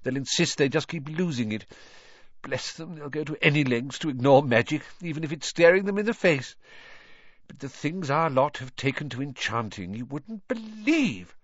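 Kindly flustered middle-aged father, a bit higher, stammering; male reader voicing. Dry recording. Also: lively intonation, normal speed, no room sound, highs cut off. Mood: weary. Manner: stammering, sheepish, weary.

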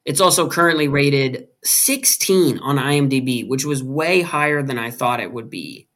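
A man's voice: medium pitch, moderate pace, a bit bright. A man in his twenties hosting a podcast, chatting and teasing his co-host about a film. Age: 20s. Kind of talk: casual movie review chat.

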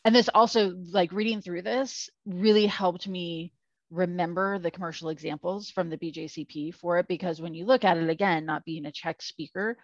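A woman's voice: fairly low pitch, steady tone, normal speed, no echo, muffled recording. A woman co-hosting a podcast in casual chat, rambling with lots of fillers. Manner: rambling, filler-heavy, self-deprecating. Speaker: podcast co-host.

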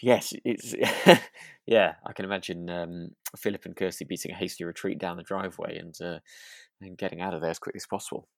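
A man with a low, gravelly voice co-hosts a relaxed review chat. He talks tentatively, feeling his way through his thoughts, and the sound is close and dry. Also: fairly quick, dry recording. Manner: hesitant, tentative, hedging. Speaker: podcast co-host.

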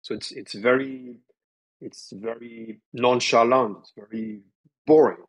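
Interview guest: man, French accent, husky, animated and talky. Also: medium pitch, unhurried, rough recording. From southern France. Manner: lively, stresses words up and down, many fillers like "you know". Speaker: guest, developer relations. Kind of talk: casual interview talk.

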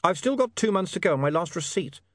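A man voicing a dry, pedantic, quick-witted gentleman in an audiobook story, polite and precise. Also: sits high, lively intonation, no echo, pitched above the performer's own voice. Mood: wry, pedantic.

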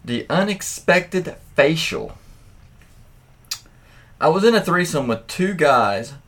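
A man hosting a casual story read-aloud, speaking candidly and crudely with his own reaction asides. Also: a little high. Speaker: host reading stories aloud with commentary.

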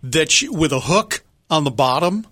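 A male radio co-host with a fairly high, bright voice trades casual banter. He is wry and teasing, and the studio sound is close and dry. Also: animated, normal speed, dry recording.

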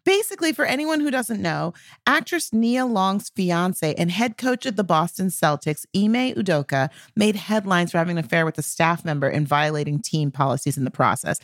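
A woman hosts a casual podcast with lively, swooping intonation. Her delivery is slangy and playfully emphatic. The recording is dry, with very little room sound. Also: on the low side, moderate pace, no echo. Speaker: podcast co-host and comedy writer. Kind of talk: casual scripted-and-banter podcast chat.

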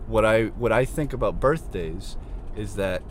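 Twenty-year-old male solo podcaster, low voice, crackly and fading, rambling and cursing, faint traffic behind. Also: a little slow. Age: 20s. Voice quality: crackly, losing his voice. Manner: rambling, irritated, self-deprecating, swears freely. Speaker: podcast host. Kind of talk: casual solo podcast monologue. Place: inside a parked car. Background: faint car and street noise.

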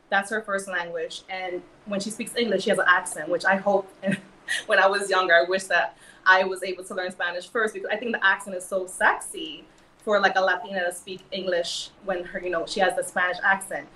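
A woman's voice: medium pitch, fairly even intonation, normal speed, pushed and bright, recorded from a distance, slight background noise. Woman, New York accent, earnest panel guest, roomy echo. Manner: earnest, filler-heavy, emphatic. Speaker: model and actress guest. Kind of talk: casual panel discussion.

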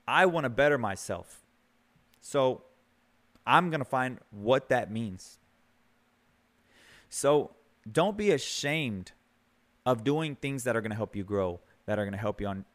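A man in his thirties hosting a podcast, giving an earnest, conversational motivational talk, often repeating words as he builds a point. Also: a little high. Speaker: podcast host. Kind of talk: motivational monologue.

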